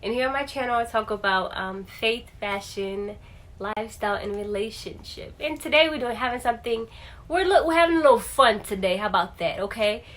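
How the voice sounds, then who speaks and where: A thirty-year-old woman chatting casually to her channel as host, in an animated, playful and emphatic way, repeating phrases for effect. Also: a little high, fairly quick. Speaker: lifestyle vlogger and channel host. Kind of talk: casual chatty vlog to camera.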